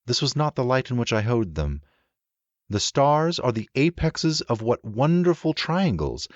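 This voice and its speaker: A man narrating a literary audiobook in a measured, formal and expressive reading manner. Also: medium pitch, dry recording, dull audio.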